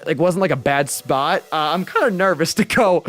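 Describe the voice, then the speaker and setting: A lively twenty-year-old man talks casually to his stream viewers, voice sitting a bit high for a guy. He swears freely and gestures as he goes, right up on a studio mic, with faint music playing behind him. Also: moderate pace. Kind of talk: casual livestream chat. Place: small bedroom streaming room with purple lights. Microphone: studio mic on boom arm, headphones.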